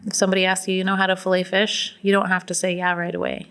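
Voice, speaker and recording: A woman's voice: lowish voice, monotone, fast talker, a bit bright, smooth. A woman in her forties from Alaska, chatting casually in an informal, encouraging way. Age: 40s. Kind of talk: casual conversation.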